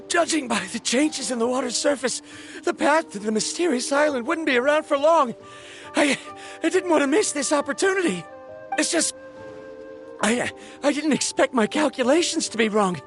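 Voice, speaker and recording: A bookish, stubborn scholar from an anime video game. The voice is very high for a male and moves widely in pitch, with formal, halting phrasing. Faint music plays behind. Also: animated, a bit bright, heavily acted. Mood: sheepish, shaken. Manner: stammering, formal, hesitant.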